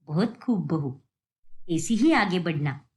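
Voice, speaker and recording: A woman's voice: on the low side, expressive, takes it slowly, pitched below the performer's own voice. A woman voicing an approving older mother-in-law in a moral cartoon. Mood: pleased, approving. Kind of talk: acted moral-story cartoon.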